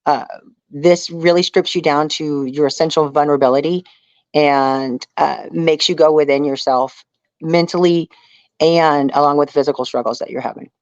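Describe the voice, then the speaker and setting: A woman's voice: low-pitched, moderate pace, no room sound, lo-fi sound. A woman telling stories as an interview guest in a casual, chatty way, often adding "you know". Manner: chatty, animated retelling with frequent "you know". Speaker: reality TV survival contestant, interview guest. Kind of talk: casual conversational storytelling.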